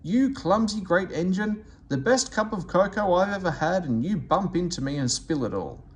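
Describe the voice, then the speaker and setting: A man's voice: high-pitched, animated, normal speed, slight background noise, voice pushed up. A man voicing an indignant, grumbling train fireman from a children's storybook, complaining with bluster.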